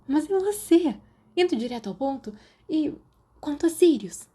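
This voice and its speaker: Storybook teen girl, played by a woman narrator: Brazilian, nosy and direct, higher-pitched, probing delivery. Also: lively intonation, normal speed, mellow. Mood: prying. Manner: pointed, probing questions. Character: curious, blunt teenage girl prying into friend's love life.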